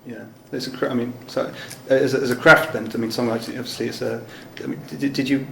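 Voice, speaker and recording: A man interviewing someone casually, with rambling, halting questions. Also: medium pitch, flat delivery. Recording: echoey room, clear background noise.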